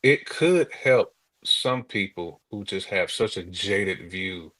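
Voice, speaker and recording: Male podcast co-host, American, slightly low pitch, casual slangy talk, opinion-sharing. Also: expressive, slow pace, a bit bright. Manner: informal, slangy, conversational. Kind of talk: casual chat, giving opinions.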